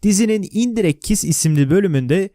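Turkish male explainer narrator, scripted read, higher-than-average voice, dry recording. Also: lively intonation, brisk, mellow, no echo. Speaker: video narrator.

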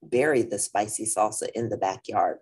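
A woman with a husky voice reading a picture book aloud to young listeners in an animated, deliberate manner, with brief asides. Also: a bass voice. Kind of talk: expressive children's read-aloud with brief asides.

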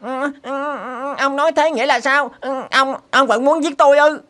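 A woman's voice: high voice, steady tone, normal speed, background noise, close to an everyday voice. A woman voicing a cunning, fawning wild turkey in a cartoon, pleading and persuading. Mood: alarmed. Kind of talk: cartoon character acting.

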